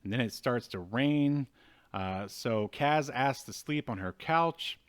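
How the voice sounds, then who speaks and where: A man in his thirties with a mid-range voice talks through a film as co-host of a review show. His delivery is casual and wry, and he moves through the story haltingly. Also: mid-range voice, animated, clear, resonant tone. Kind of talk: casual film recap and commentary. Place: indoors at home, video call.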